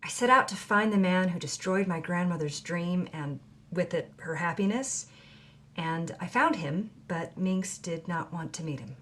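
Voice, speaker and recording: An actress playing a devoted granddaughter who hosts and narrates a scripted documentary about a film star. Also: female voice, on the low side, measured pace, fairly bright tone, slight background noise. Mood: earnest, pointed. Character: devoted granddaughter investigating a film star's past. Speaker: documentary host.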